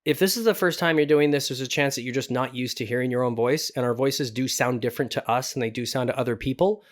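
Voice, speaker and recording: A man with a medium-pitched voice hosts a tutorial. He talks informally, explaining each step in a casual way. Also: fast. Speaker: voice acting tutorial host.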